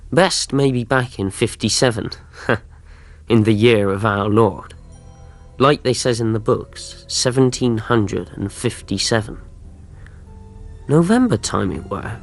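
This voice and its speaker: A rustic narrator looks back on his youth in a radio play. His voice is fairly low and plain-spoken, reflective, with soft music underneath. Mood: reminiscing. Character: rustic man narrator recalling his boyhood adventures. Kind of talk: in-character radio drama narration.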